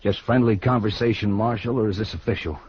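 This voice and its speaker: An actor playing a cool, curt, insolent cowboy suspect with a husky voice in a 1950s radio western. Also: male voice, lowish voice, fairly even intonation, fairly quick. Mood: cool, defiant. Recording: muffled 1950s radio recording.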